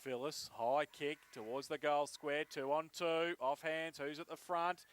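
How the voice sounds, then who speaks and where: A man's voice: on the high side, fairly even intonation, unhurried, clear, resonant tone. A man with an Australian accent calling an Australian rules football match live as a play-by-play commentator.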